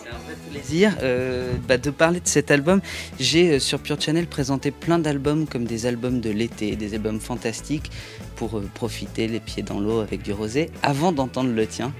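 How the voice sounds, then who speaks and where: A man in a mid-range voice hosts the interview, effusive and chatty. His questions ramble on at length, and music plays clearly behind him. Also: mid-range voice, moderate pace. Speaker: interviewer and host. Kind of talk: enthusiastic casual interview.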